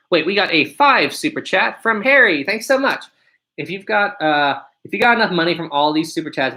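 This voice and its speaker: A young man with a deep voice hosting a casual live stream, reading viewer questions aloud and answering them one by one. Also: bright, forceful tone.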